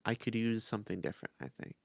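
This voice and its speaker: A man's voice: fairly low pitch, rapid-fire, vocal fry, no echo, studio quality. A man co-hosting a podcast, talking casually as he reviews and rates stories. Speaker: podcast co-host.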